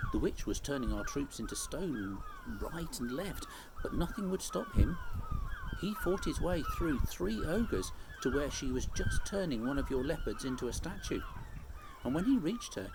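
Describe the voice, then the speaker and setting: An earnest, noble storybook boy , read by a man, speaking with widely swinging pitch. Clear noise sits behind the homemade recording. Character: earnest noble boy reporting after battle. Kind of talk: storybook character voice.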